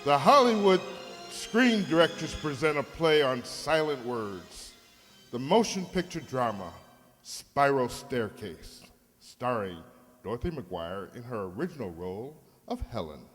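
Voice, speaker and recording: A man's voice: medium pitch, big pitch swings, unhurried, fairly bright tone, soft background music. A man playing a dramatic, emphatic announcer of an old-time radio show. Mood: grand, dramatic. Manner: emphatic, declamatory. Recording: long hall reverb.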